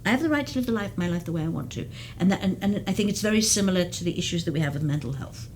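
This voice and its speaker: A woman's voice: on the low side, lively intonation, rapid-fire, a little mellow, a little hiss or hum. A woman who works as a geriatric case manager, explaining at length in an interview with frequent restarts. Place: community TV studio.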